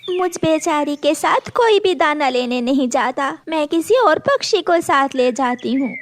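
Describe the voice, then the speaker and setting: A woman's voice: high voice, expressive, unhurried, slight background noise, voice pushed up. A woman voicing a small mother sparrow in a children's cartoon, sweet and pleading but clever. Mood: sweet, hopeful.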